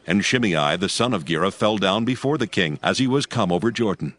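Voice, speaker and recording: A man narrating scripture from a script in a measured, solemn storytelling manner. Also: low voice, lively intonation, fairly bright tone.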